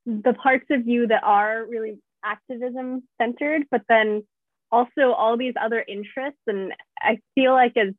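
A woman's voice: fairly high pitch, steady tone, a little slow, no room sound. A young woman co-host talks in a friendly, easy way, leaning on filler phrases. Her voice comes through thin and phone-like, with a dry sound. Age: young adult. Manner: friendly, with many you-knows. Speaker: podcast co-host. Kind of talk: casual interview chat. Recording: tinny phone-like audio.

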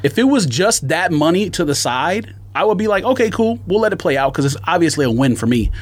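Male podcast co-host, slightly high voice, very lively intonation, exasperated slangy storytelling. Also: brisk. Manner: exasperated, slangy, acts out quoted exchanges. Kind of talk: casual podcast rant, telling a story.

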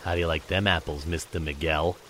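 A man playing a low, taunting kidnapper. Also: steady tone, a little fast, a bit bright, slight background noise. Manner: taunting, mocking. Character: sneering male kidnapper, adult, menacing.

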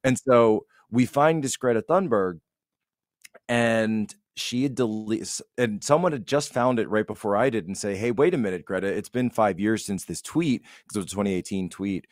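A man's voice: lowish voice, normal speed, dry recording, clean studio sound. A man giving casual political commentary as a podcast guest, emphatic and repeating phrases to make his point.

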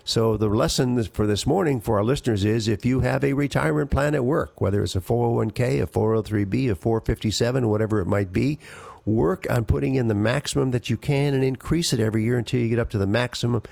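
Quick-talking gravelly radio finance host. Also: male voice, medium pitch, darkish tone, no room sound. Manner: emphatic, quick advice-giving delivery. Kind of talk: call-in radio finance talk.

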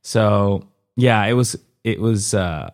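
A young man with a fairly low voice, speaking as a guest in a relaxed interview. He is from Colombia and tells personal stories in an informal, halting way, often restarting and rewording as he goes. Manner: informal, hesitant and self-correcting, often seeking agreement with "you know". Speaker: interview guest, comedian and former dancer. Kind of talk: casual conversational interview, personal storytelling.

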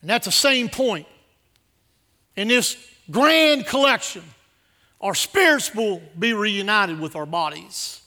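High-pitched, bright-voiced male preacher. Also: big pitch swings, slow. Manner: exhortative, emphatic, with repeated phrases; swings between hushed asides and loud declarations. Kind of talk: sermon to a congregation.

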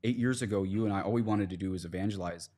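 A man's voice: on the low side, very fast, dark tone. A man, a priest, speaking as a podcast guest, earnestly explaining religious ideas in conversational, plain analogies.

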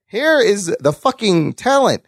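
A man hosting a podcast, chatting casually about news with co-hosts in an excitable, sweary way. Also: sits high, a little slow, no room sound, clean studio sound. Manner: excitable, profane. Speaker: podcast host.

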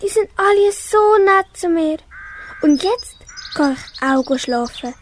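A tiny, anxious cat acted by a young girl speaks in a very high voice for a school radio play. The words come very slowly, with meows between them, over noticeable noise. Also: expressive, heavily acted. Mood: curious, content. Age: young teenager. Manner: slow, punctuates speech with meows. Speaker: school pupil in a radio workshop. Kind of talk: amateur radio play acting.

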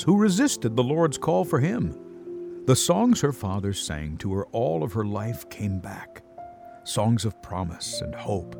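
A man's voice: mid-range voice, vocal fry. A man narrating a scripted Bible story in a dramatic storytelling style.

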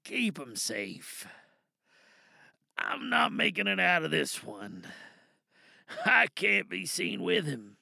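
Man acting a gravelly, dying, bitter rebel. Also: on the high side, huge pitch swings, pushed and bright, dry recording, studio quality, full character voice. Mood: urgent, resigned. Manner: weary, halting, dramatic delivery with profanity. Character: dying, bitter, angry cyberpunk rebel recording a last message. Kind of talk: audio drama monologue.

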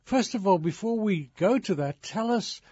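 High-voiced English man interviewing. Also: animated, moderate pace, warm tone, slightly rough, no echo. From England. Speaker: interviewer host. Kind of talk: radio interview. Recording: muffled, dry radio studio sound.

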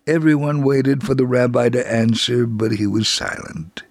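A man reading a scripted story for radio as narrator, solemn and measured, with a gravelly, husky voice. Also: medium pitch, dry recording.

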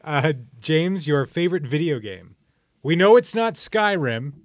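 A man's voice: a little high, very animated, a little slow. A man hosting a podcast quiz in casual, playful banter with a jokey manner. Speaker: podcast host running a quiz. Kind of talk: casual comedic banter with guests.

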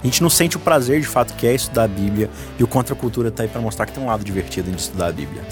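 A man's voice: medium pitch, animated, rapid-fire, over music. A man co-hosting a radio podcast, joking and teasing while talking about the Bible. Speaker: radio show co-host. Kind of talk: casual Bible study chat on a radio podcast.

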